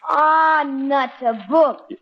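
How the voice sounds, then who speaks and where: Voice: high voice, steady tone, extremely slow, exaggerated voice. An actor playing a bratty, sulky young American boy in old radio comedy, blunt and whiny. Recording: muffled, noisy old radio recording.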